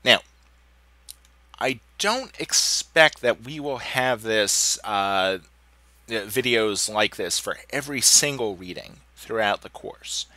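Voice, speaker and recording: A man in the middle of getting over a cold, his voice stuffy and a little hoarse, guides students through their online course page. He sits somewhat low in pitch but sounds bright and forward, and the recording is dry and close with no room around it. He talks loosely, pausing on "uh" as he clicks along. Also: dry recording. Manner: relaxed, conversational, with frequent "uh" restarts. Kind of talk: screen-recorded course walkthrough. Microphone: computer mic, voice-over of screen capture.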